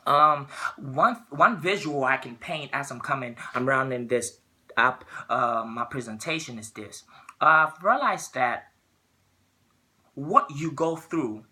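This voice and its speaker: A man's voice: mid-range voice, animated, slow, sharp tone, breathy edge. Earnest man from Ghana giving a speech. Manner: earnest, repeats words while searching for phrasing. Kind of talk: persuasive speech.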